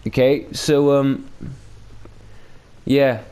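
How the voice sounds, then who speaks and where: Guy doing chill game commentary, slow n rambly, hesitant, clean clear voice, kinda sweary. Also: medium pitch, steady tone, a little mellow. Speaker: gaming video host. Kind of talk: casual unscripted game commentary.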